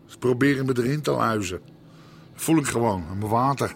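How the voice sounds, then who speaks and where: Casting: male actor for aggrieved old-school Amsterdam gang boss, older, blunt street talk, mid-pitched, radio drama. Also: a little fast, creaky, a little hiss or hum, close to an everyday voice. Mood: brooding, suspicious. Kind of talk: naturalistic radio drama acting.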